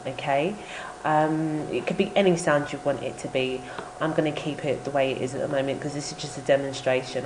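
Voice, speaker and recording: A woman presenting an informal, step-by-step software tutorial as a voice-over. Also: deep voice. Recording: roomy echo with background noise.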